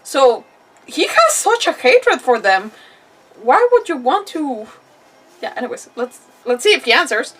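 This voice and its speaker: Female game streamer: highish voice, halting casual commentary, giggly, slightly off-mic. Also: sing-song, fairly bright tone, distant mic, rough recording. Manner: halting, giggly, thinks aloud. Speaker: game livestreamer. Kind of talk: casual live gameplay commentary.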